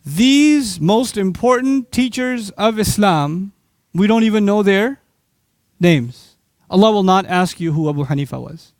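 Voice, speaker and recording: Man, lecturer, high voice, dry close sound, animated, rhetorical questions. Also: dry recording. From Pakistan. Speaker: Islamic speaker. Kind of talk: lecture to a live audience.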